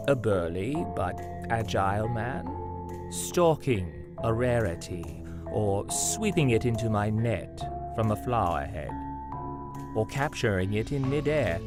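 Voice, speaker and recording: A man reading literary memoir passages as a documentary voice-over, measured and expressive. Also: medium pitch.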